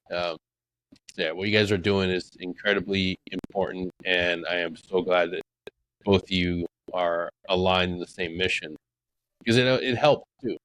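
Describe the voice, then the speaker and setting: A man with a deep, low-pitched voice hosts a casual podcast chat. He speaks bluntly and swears freely, and his voice climbs when something frustrates him. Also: moderate pace, low-quality recording. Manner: blunt, profane, animated when riled. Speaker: podcast host.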